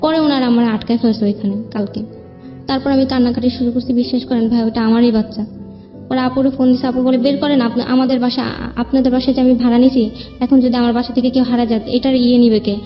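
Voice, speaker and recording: A young Bangladeshi woman recounts her own troubles as a show guest in a plaintive, rambling way. Her voice is fairly high and carries a long reverb. Soft music plays underneath. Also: steady tone, fast talker, highs cut off. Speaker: guest telling own life story. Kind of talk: personal spoken account. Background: background music.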